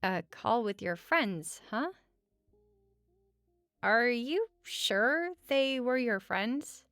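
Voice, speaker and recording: A woman playing a sarcastic but caring roommate in a conversational audio roleplay, talking casually with wry teasing and hesitant pauses. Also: a little high, expressive, a bit bright, clean tone, dry recording, studio quality, soft background music, heavily acted. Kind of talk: conversational comfort audio roleplay at regular speaking volume.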